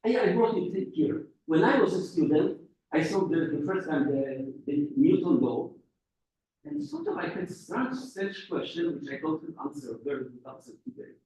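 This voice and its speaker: A male guest lecturer explains physics in a halting way, often restarting his phrases. His voice is slightly high and mellow. It sounds distant and echoey, as if picked up from across a large room. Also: very animated.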